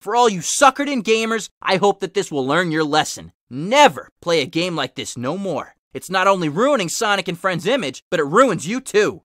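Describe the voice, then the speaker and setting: Man voicing a determined, forceful warrior hero. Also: high voice, animated, normal speed, hardly any pauses, no room sound, clean studio sound. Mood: stern. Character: determined, taunting masked male warrior hero.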